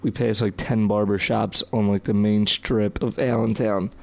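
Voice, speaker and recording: A hoarse young man, co-hosting a casual podcast chat in a laid-back, halting way with many fillers. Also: lowish voice, flat delivery, darkish tone. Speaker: podcast co-host. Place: home room, no headphones.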